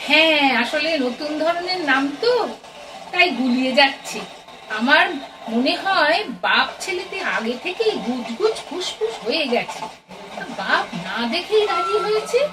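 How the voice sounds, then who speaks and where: A woman's voice: high voice, animated, unhurried, distant mic, rough recording. A woman playing an anxious, chatty middle-aged Bengali mother in an audio play, fretful and exclamatory with a homely scolding manner. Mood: chatty, confiding.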